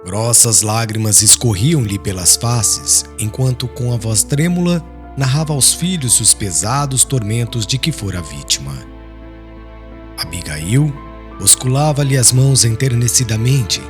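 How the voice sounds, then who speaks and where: A man with a Brazilian accent narrating an audiobook in a solemn, measured, dramatic literary style. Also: medium pitch.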